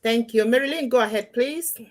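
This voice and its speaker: A woman's voice: fairly high pitch, a little fast. Chatty woman hosting a live panel. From Cameroon. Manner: chatty, warm asides to viewers. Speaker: host of a live panel show.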